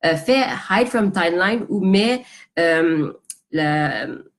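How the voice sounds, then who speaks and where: A woman's voice: on the low side, animated, takes it slowly. A woman with a Quebec French accent coaching her audience live, speaking casually and directly and dropping English terms into her French. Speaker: business coach. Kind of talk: live social media coaching talk. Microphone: phone or webcam on a live stream.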